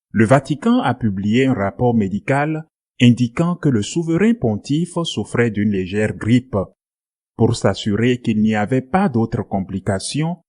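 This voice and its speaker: Slow-paced male news reader. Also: mid-range voice, a little mellow. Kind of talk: scripted news narration.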